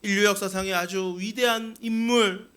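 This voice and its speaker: A man's voice: high-pitched, fairly even intonation, brisk, bright, forceful tone, clean tone. A man preaching a Sunday sermon as senior pastor, speaking earnestly to his congregation and posing rhetorical questions. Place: church sanctuary.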